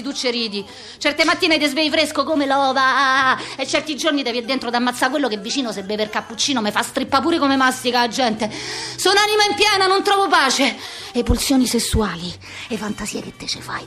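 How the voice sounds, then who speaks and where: A woman's voice: high-pitched, a bit bright. An actress playing a neurotic, overwhelmed Roman street woman, rattling off staccato lists in a theatrical monologue with a Roman accent. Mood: agitated. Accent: Roman Italian. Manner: rambling, staccato lists of short phrases.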